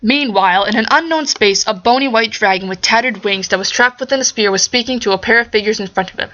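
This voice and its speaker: A woman's voice: a little high, fast talker, sharp tone, highs cut off. A woman reading amateur fiction aloud and talking casually to her listeners. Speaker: amateur audio fiction narrator. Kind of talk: story narration and casual talk to listeners.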